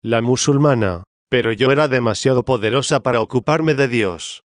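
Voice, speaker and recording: Un hombre que pone voz al espíritu de una reina difunta, orgullosa y desdeñosa, que responde con altivez. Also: male voice, mid-range voice, normal speed, no echo, studio quality, lightly acted. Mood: haughty. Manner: disdainful, imperious. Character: haughty dead queen's spirit, woman, proud, scornful.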